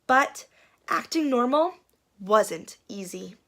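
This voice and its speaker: A woman reading a picture book aloud for children in an expressive, dramatic and playful way, building suspense. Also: fairly high pitch, slow. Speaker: children's storyteller.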